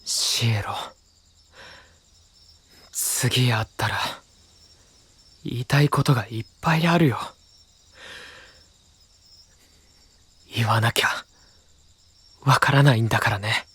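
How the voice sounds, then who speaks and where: A man playing a sincere, patient young knight leader, speaking gently and plainly with a husky voice in an anime audio drama. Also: medium pitch, measured pace, long pauses. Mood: wistful. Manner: gentle, earnest, plain-spoken.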